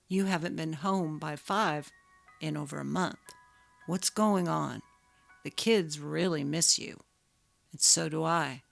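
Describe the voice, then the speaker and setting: A woman's voice: low-pitched, vocal fry, no echo. A woman voicing a patient, neglected husband who pleads earnestly in a dramatic story reading. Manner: earnest, gently reproachful. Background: faint music.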